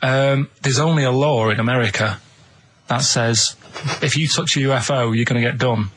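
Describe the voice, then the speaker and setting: Casting: male radio co-host, artless anecdote teller, ordinary mid pitch, audible background noise. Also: moderate pace, a bit bright. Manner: colloquial, artless storytelling. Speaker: radio show co-host and storyteller. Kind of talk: rambling anecdotal radio chat.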